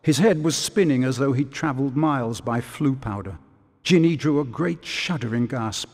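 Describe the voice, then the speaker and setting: A man narrating a video game story from a script in a measured, dramatic storytelling manner. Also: mid-range voice, darkish tone. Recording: long reverb.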